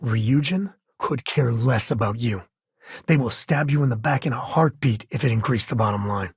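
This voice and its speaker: An actor playing an easygoing, middle-aged space cowboy and devoted father in a video game, with a husky, rough-edged voice and folksy, dryly humorous phrasing. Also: mid-range voice, normal speed, no echo. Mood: wary, blunt. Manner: folksy, relaxed phrasing with dry humour. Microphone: close dry studio mic.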